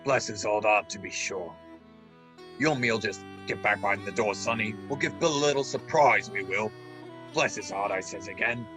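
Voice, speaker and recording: A man playing a menacing pirate who feigns friendliness, with a raspy voice and wheedling sailor dialect in a radio play. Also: fairly low pitch, moderate pace, music in the background, close to an everyday voice. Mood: sly, wheedling. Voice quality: raspy, gravelly. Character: menacing pirate feigning friendly warmth, sly old shipmate.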